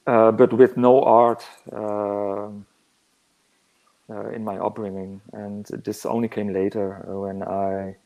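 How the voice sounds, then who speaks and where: Slow, gravelly man. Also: fairly low pitch, flat delivery, narrow, tinny audio. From northern Netherlands. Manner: halting, searching for words. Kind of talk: reflective interview answers.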